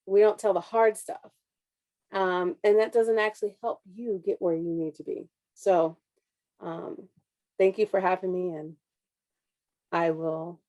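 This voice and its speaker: A woman's voice: on the low side, mellow. A woman in her thirties, a city councilwoman, giving an earnest, reflective personal speech over a video call. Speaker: city councilwoman, panel speaker. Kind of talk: personal speech at an online forum.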